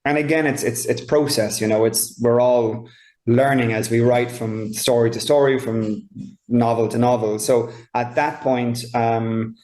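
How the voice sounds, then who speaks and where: A man's voice: medium pitch, steady tone, a little slow, darkish tone. Reflective Irish man, roomy echo. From Ireland. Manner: reflective, searching for words, expansive. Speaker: novelist guest. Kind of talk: conversational author interview.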